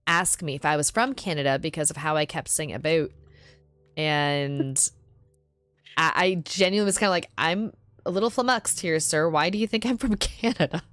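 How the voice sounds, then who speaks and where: Woman from california, playful and curious, laughs a lot, low-ish voice. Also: fairly bright tone, no echo. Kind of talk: casual chat on a stream.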